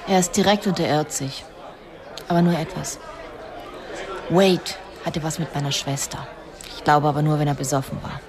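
A woman playing a self-possessed, sharp-tongued society wife in a radio drama, controlled and pointed. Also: low voice, fairly even intonation, normal speed, long pauses, crowd noise. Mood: curt, composed. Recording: music behind the voice.

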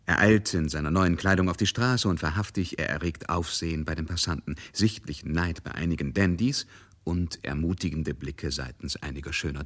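Low, husky male narrator. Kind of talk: dramatic literary narration in a radio play.

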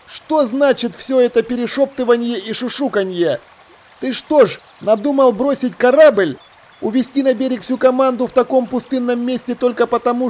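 An honest, simple seaman from an adventure audiobook, played by a man. He speaks in a raised, high voice, anxious and insistent, pressing for answers. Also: a little mellow. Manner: insistent, questioning. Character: anxious, simple honest sailor demanding the truth. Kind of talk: acted audiobook dialogue.

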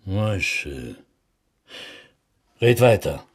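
An actor playing a steady, terse butcher in a radio play, with a Yiddish accent and a husky voice. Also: male voice, sits low, exaggerated voice. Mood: controlled. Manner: slow, terse, calming.

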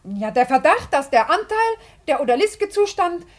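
A self-righteous, agitated bookkeeper in a radio play. Her voice is extremely high and swings widely in pitch. She stammers and pleads in a plaintive, defensive way. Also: big pitch swings, moderate pace. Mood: flustered, nervous. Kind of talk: German radio drama acting.

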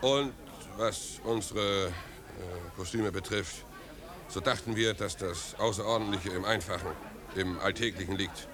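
An actor playing an awkward vagrant who speaks with halting, stiff formality in a 1950s German radio play. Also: male voice, lowish voice, lightly acted. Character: awkward vagrant attempting a polite formal speech. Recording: 1950s radio recording, crowd behind. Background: party crowd.

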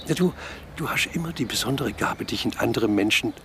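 A worried boyfriend in a radio drama. He speaks at a middle pitch in a casual, confiding and slightly evasive way, over faint background ambience. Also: lively intonation, a little fast, a bit bright, slight background noise. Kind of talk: radio play dialogue.

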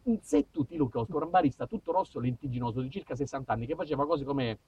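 Animated husky southern Italian man telling stories. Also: medium pitch, fast. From southern Italy. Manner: expressive, acts out dialogue, shifts between hushed and shouted. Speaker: storyteller and stage monologist. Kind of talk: theatrical comic monologue about small-town life. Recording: dull, distant sound with music.

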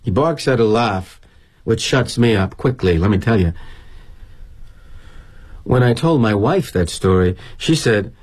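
An actor playing a jaded middle-aged corporate executive, delivering a darkly wry, self-justifying stage-style monologue with arch, mannered phrasing. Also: male voice, fairly low pitch, lively intonation, unhurried, long pauses, darkish tone, husky. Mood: rueful, wry. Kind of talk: dramatic monologue, theatrical storytelling.

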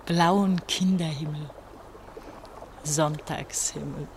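An actress playing a bitter, feverish eighteen-year-old East German girl in a German radio play, brooding and halting in her delivery. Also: deep voice, steady tone, darkish tone, slight background noise.

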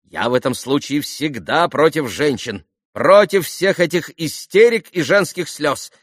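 A man voicing a gushing, excitable society lady, a fussing mother who talks in effusive, melodramatic repetitions, in an audiobook novel. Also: a little high, measured pace, no echo, clean studio sound, voice pushed up. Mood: brisk, confiding. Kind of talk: audiobook classic novel dialogue.

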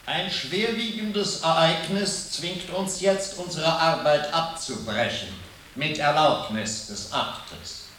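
Echoing, stern inquisitor. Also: male voice, fairly high pitch, fairly bright tone. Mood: solemn. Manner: measured, ceremonious, pointed. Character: stern, calculating male inquisitor, coldly formal and self-righteous. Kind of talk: radio drama acting. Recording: very echoey hall, distant, faint noise.

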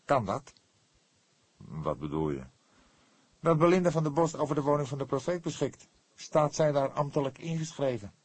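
A man's voice: on the high side, lively intonation, normal speed, long pauses, breathy edge, no echo, voice pushed up. A male narrator puts on a young policeman for an audiobook. His voice is lifted well above the reader's own and sounds urgent and anxious. He questions eagerly, in a very dry recording. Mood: questioning. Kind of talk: audiobook character dialogue.

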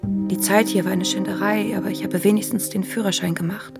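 A woman's voice: medium pitch, little pitch movement, brisk, close to an everyday voice. An actress playing a young woman who reads out a soldier's letter intimately in a German radio drama. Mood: intimate, wry. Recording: music clearly behind the voice.

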